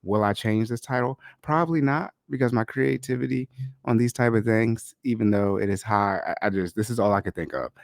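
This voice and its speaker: A man with a lowish voice hosts his own podcast in a relaxed, slangy manner. He sounds stuffed up and nasal from a lingering cold. The sound is close and dry with no room echo. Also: fairly quick, vocal fry. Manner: loose, chatty, slangy delivery. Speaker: podcast host. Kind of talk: casual solo podcast talk. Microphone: podcast microphone.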